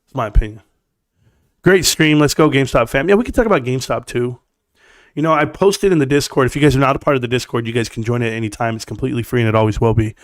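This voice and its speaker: A man's voice: mid-range voice, fast, no echo. Blunt man with a scratchy voice. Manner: blunt, emphatic, talks straight to the chat. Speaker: stock market live streamer. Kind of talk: opinionated casual livestream rant.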